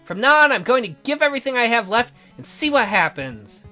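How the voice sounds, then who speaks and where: A woman's voice: fairly high pitch, sing-song, brisk, sharp tone, soft background music. A woman voicing a proud, troubled noble lady in a visual novel read-through, with solemn, declarative delivery. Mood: resolved.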